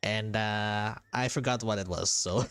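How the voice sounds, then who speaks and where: Lowish-voiced man streaming a game. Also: a little slow, clear, resonant tone, no room sound. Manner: chatty, repeats himself. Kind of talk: casual live gameplay commentary. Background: soft game music.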